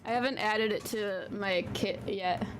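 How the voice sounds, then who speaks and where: Woman, tarot reader on a live stream, chatty and slangy, small soft voice, noisy basement recording. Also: mid-range voice, a little slow. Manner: chatty, slangy, casual swearing. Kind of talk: casual live-stream chat. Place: home basement.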